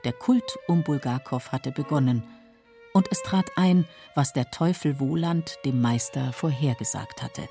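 A woman with a husky voice giving sober, measured scripted documentary narration in a radio studio. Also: extremely low pitch, dark tone, soft background music.

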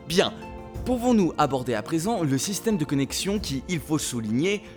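An actor playing an excitable, gushing male presenter of a video game radio show in an audio drama. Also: fairly high pitch, normal speed, soft background music. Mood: curious, admiring.

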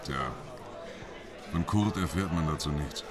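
An actor playing a sardonic, level-headed police investigator in a radio drama, talking in a dry, casual way. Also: male voice, rumbling low, measured pace, crowd noise. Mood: pensive. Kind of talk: radio drama, conversational dialogue.

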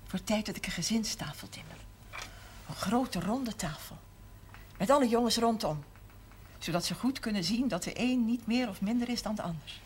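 An actress playing a middle-aged, emotional but steadfast mother in a 1970s TV drama, speaking in measured, earnest phrases. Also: on the high side, animated, close to an everyday voice. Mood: tender recollection. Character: steadfast, emotional mother of a large Limburg family. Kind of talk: 1970s television drama acting. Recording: noisy old TV soundtrack.

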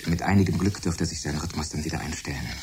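A fussy, eccentric laboratory scientist in a German radio play. His low voice is polite, absorbed and precise. A faint stirring, sizzling noise sits behind him. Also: steady tone, fast, a little mellow. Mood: businesslike. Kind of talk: German radio drama acting.

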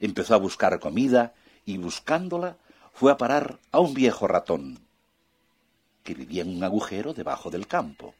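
Male narrator for a children's audiobook, husky, very animated. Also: lowish voice, normal speed. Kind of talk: children's storytelling.

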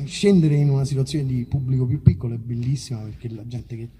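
A man's voice: mid-range voice, a little fast, mellow, slight background noise. A man chatting casually between songs at a live concert, rambling and self-deprecating, with colloquial language and swearing. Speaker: musician performing live. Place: live outdoor festival concert.

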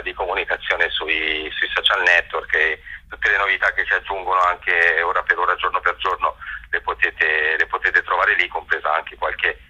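A man with a low, husky voice is being interviewed as an event organizer. He has a very sharp, forceful edge to his tone and speaks haltingly, stretching words while he looks for the right phrase. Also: highs cut off. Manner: halting, searching for words with drawn-out words. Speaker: festival organizer and interview guest. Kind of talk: spoken interview, presenting an event.

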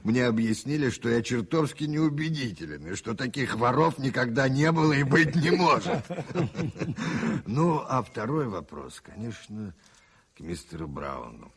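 An actor playing a worldly, self-mocking adventurer in a radio play, theatrical and teasing, with a husky voice. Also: male voice, medium pitch. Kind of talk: 1980s radio play acting.

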